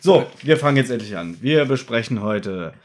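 A man hosting a casual podcast chat, teasing his co-host and telling stories in an animated way. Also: mid-range voice, normal speed.

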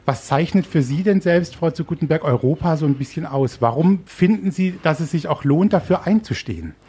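A man's voice: fairly high pitch, expressive, fairly quick, mellow, husky. A man hosting a casual interview, asking questions in an informal, wordy way. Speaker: interviewer, host.

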